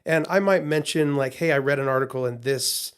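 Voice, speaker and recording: A man's voice: mid-range voice, fairly quick, clean tone. A man co-hosting a podcast in casual chat, rambling and restarting his sentences with repeated words. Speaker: podcast co-host.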